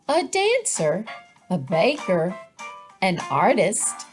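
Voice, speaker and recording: A woman narrating a children's picture book in a deliberate, expressive storytelling manner. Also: medium pitch, over music.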